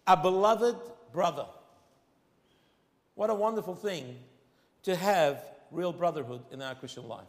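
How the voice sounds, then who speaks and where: Arabic-accented male preacher, emphatic, high-ish voice, reverberant hall. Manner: emphatic, slows and rises on key phrases. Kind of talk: sermon.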